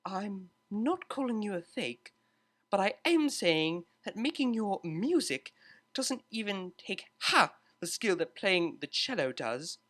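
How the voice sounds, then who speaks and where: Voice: mid-range voice, very animated, takes it slowly, fairly bright tone, pitched above the performer's own voice, heavily acted. A narrator voicing a refined, haughty young female pony cellist with a prim, disdainful manner. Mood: indignant.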